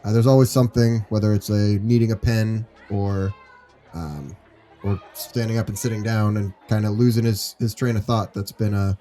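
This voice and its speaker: A man playing an easygoing, concerned high school teacher in a role-played case study, speaking informally and hesitantly with many fillers. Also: level tone, moderate pace, warm tone, lightly acted.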